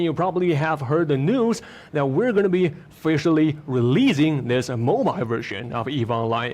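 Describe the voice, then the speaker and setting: A man presents a live stream to fans from a studio. His voice sits a little higher than most men's. He talks in an upbeat, chatty way and pads his sentences with filler phrases. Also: darkish tone. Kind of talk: upbeat presenting to an online audience.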